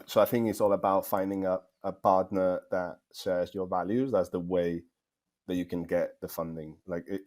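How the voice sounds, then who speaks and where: Spanish guy with a low mellow voice, game dev chatting in an interview, kinda thinks out loud and repeats words. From Spain. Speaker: game developer, guest speaker. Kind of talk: conversational interview answers.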